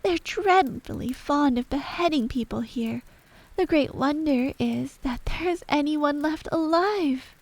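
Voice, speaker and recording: A curious young storybook girl, voiced by a woman, polite yet outspoken. Her voice is light and frank, lifted a little higher than an adult's. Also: measured pace. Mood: uneasy.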